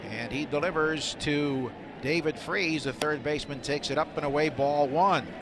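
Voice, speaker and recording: Higher-voiced man calling live baseball. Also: moderate pace. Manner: clipped, descriptive calls with short phrases. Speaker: play-by-play baseball announcer. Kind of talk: live radio play-by-play commentary. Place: outdoor ballpark broadcast booth. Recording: loud stadium noise behind the voice.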